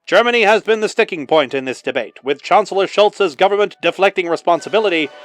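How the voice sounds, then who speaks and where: A high-pitched, declamatory wartime newsreel announcer in the 1940s manner, put on by a man. He delivers patriotic narration with rousing drama, and music plays behind him. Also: animated, fast talker. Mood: solemn, resolute.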